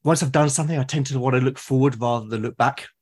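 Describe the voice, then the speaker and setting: A man from Britain with a husky voice of middling pitch speaks as a podcast guest. He is reflective and tentative, casually working out his thoughts and doubling back on words. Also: fast, a little mellow.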